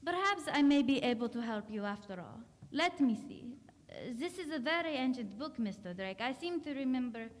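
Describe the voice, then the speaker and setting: A woman playing a grave, cryptic old doctor of the supernatural in a radio drama, speaking formally and deliberately. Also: high-pitched, animated. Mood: mysterious, pondering. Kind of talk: theatrical radio drama acting. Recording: noisy stage recording in reverberant hall.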